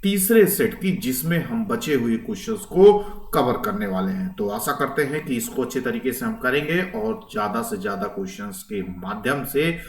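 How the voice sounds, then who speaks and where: A man's voice: medium pitch, big pitch swings, normal speed. A man teaching an informal online exam lecture, explaining step by step, repeating phrases and often checking that students follow. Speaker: history teacher for competitive exams. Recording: echoey room, distant microphone.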